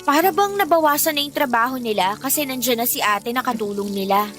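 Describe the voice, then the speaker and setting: Filipina teen girl in a radio drama, kinda high voice, chatty and candid, worn by family hardship. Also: brisk, a bit bright. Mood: confiding. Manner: conversational, emphatic storytelling. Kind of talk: Tagalog radio drama acting. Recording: music bed under the dialogue.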